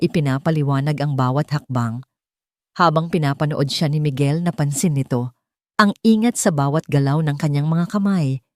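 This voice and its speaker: A woman's voice: deep voice, a little mellow, no echo, clean studio sound. A woman storyteller reading scripted narration for a romantic drama audio story.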